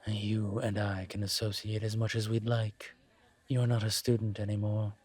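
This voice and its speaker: A man voicing a suave, teasing incubus professor in an intimate audio roleplay, courteous and measured, with deliberate pauses. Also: lowish voice, flat delivery, darkish tone, breathy edge. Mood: measured, gently wry. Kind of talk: intimate one-sided audio roleplay acting.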